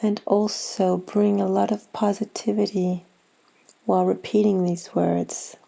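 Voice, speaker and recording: Gravelly, mellow woman guiding meditation. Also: medium pitch, flat delivery, low-quality recording. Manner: soothing, gentle, unhurried instruction with long pauses. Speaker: yoga nidra guide. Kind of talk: guided meditation.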